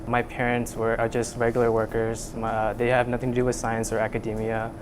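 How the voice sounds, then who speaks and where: A young man from Vietnam speaks earnestly about his lab work, circling back through fillers and restarts. His pitch sits mid-range. A steady air-conditioning hum runs underneath the recording. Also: a little fast. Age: young adult. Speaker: student researcher. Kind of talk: casual interview. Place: lab room.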